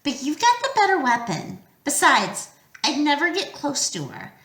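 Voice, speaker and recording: A quick-witted teenage girl, as a woman reader puts her on, urgent and commanding with precise, bookish words. The voice is high and bright with lively pitch, heard in an echoey room. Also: full character voice. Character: sharp, bossy teenage girl, strategic and brave.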